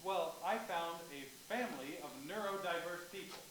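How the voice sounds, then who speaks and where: A woman's voice: lowish voice, normal speed, lightly acted. A woman in a staged play reading, playing an earnest professor keen to explain ideas. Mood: eager. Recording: very echoey room with clear noise.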